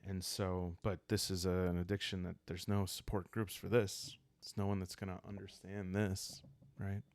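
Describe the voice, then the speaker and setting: A man speaking softly and calmly, improvising a story for a solo roleplaying game and thinking aloud hesitantly. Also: low voice. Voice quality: soft, calm. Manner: hesitant, thinking aloud with long pauses and sighs. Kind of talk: calm unscripted storytelling for sleep, journaling game playthrough.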